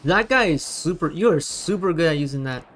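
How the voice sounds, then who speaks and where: A man from the United States giving casual gameplay commentary, rallying teammates with quick repeated calls. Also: a little high, animated, fairly bright tone, a little hiss or hum. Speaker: gamer streamer.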